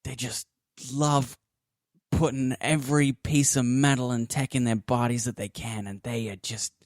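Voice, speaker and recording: A man playing a young street kid scavenger in a tabletop game, halting, slangy and foul-mouthed. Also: medium pitch, fairly even intonation, darkish tone, dry recording, clean studio sound, close to an everyday voice. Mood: grimly impressed. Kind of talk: improvised tabletop roleplay acting.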